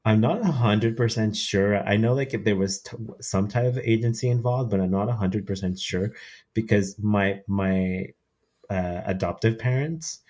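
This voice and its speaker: A man in his thirties, from Peru and raised in Canada, talking as an interview guest. His voice sits very low and stays level, with little rise or fall. He speaks haltingly, often pausing on fillers and restarting his sentences. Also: a little mellow. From Peru, raised in Quebec, Canada. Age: 30s. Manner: hesitant, many fillers and restarts. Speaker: podcast guest. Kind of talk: casual interview storytelling.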